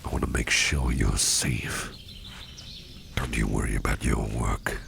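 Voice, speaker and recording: A man playing a protective, faintly sinister businessman boss in an ASMR roleplay, speaking in a soothing, unhurried, gravelly half-whisper. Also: very deep voice, steady tone, background noise, heavily acted. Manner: soothing, unhurried, repeats reassurances. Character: sinister yet protective businessman boss, male, smooth-talking, doting.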